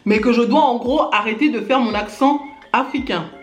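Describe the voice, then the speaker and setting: A woman's voice: fairly high pitch, normal speed. A Gabon-born woman YouTuber ranting indignantly to her camera, speaking straight at her critics and filling her talk with little tags. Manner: indignant, addresses critics directly, many fillers like "hein" and "genre". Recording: echoey room with faint music.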